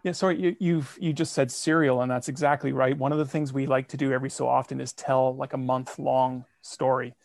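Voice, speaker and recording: A man with a gravelly voice and fairly flat intonation, recorded dry and close. He is a comedy fiction podcaster explaining his show to an interviewer, keen and explanatory. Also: medium pitch, a little fast, hardly any pauses, no room sound. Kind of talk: casual interview.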